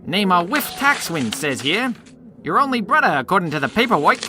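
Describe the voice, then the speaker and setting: An actor playing a flippant, callous state warden, glib and slangy, in a comedic radio play. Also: male voice, high-pitched, very animated, brisk, music in the background. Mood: brash. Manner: glib, slangy, mangles big words.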